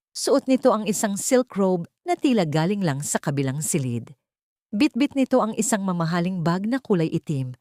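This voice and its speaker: A woman's voice: medium pitch, darkish tone, no echo, clean studio sound. A woman narrating a scripted audio story in a dramatic storytelling style.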